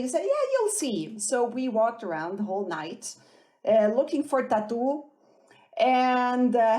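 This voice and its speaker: A woman's voice: medium pitch, darkish tone. Light-hearted woman from Switzerland telling stories. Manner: light-hearted, quick to laugh. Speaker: podcast guest, armadillo researcher. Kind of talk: conversational personal storytelling.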